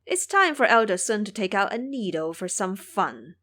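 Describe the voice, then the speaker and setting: A woman's voice: mid-range voice, very animated, moderate pace, bright, forceful tone. A woman voicing a cocky, fearless male monkey disciple who boasts and taunts in an audio drama. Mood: taunting.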